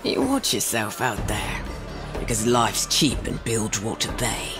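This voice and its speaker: A man as a slow, ominous storyteller. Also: lowish voice, big pitch swings, music in the background. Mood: ominous, knowing. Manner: ominous, theatrical. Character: ominous, knowing storyteller of a lawless port. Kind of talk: audio drama narration.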